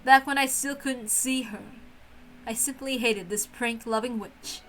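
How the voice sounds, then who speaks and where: A woman reading the inner thoughts of a young servant girl as measured, reflective first-person visual novel narration. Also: on the high side, fairly bright tone. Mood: musing. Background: music.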